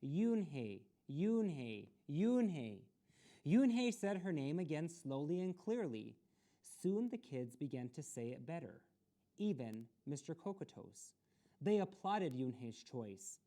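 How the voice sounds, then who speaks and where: A woman reads a children's picture book aloud in an expressive, deliberate way. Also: low-pitched. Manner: expressive, deliberate delivery with asides to listeners. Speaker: storytime reader.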